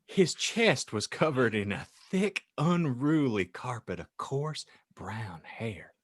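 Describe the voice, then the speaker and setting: A man narrates in a dramatic, mock-grand storytelling style. His voice sits at a middle pitch and moves at an unhurried pace. The sound is dry and close, and he is playful with it. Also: very animated, no room sound. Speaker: podcast host and narrator. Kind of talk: theatrical audiobook reading with joking asides.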